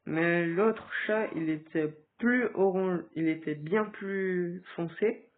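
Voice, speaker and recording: A man with a cold giving hesitant, casual commentary over a game, with sudden excited outbursts. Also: high-pitched, slow pace. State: stuffy with a cold. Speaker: gaming video creator. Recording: tinny phone-like recording.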